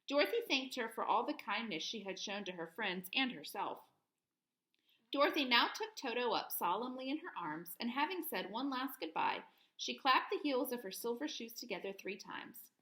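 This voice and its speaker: A woman reading a children's story aloud in an expressive, clearly phrased teacher's manner. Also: on the high side, normal speed.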